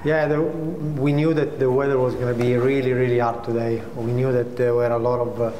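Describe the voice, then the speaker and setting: A middle-aged man, a sailor, answers interview questions in non-native English. The sound is echoey with long reverb and faint noise, and his pitch is average. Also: level tone, brisk, warm tone. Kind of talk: team debrief interview. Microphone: on-camera interview before sponsor backdrop.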